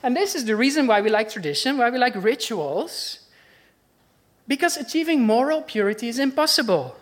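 A woman's voice: a little high, sing-song, normal speed. A woman with a Dutch accent preaching a sermon in an earnest, conversational way, asking her listeners questions.

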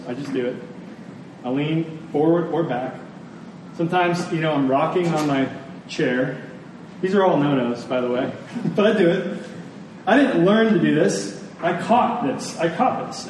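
A man with a fairly high voice preaches earnestly in a very echoey room. He speaks deliberately and repeats phrases for emphasis. The microphone sounds a little distant. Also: darkish tone. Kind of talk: sermon to a congregation. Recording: very echoey room, distant mic, faint noise.

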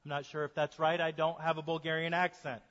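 A man preaching a sermon as a pastor, speaking earnestly and slowing down to stress key points. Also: on the high side, level tone, fairly bright tone. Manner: earnest preaching, slows for emphasis. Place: church sanctuary. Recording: dull sermon recording in a reverberant church.

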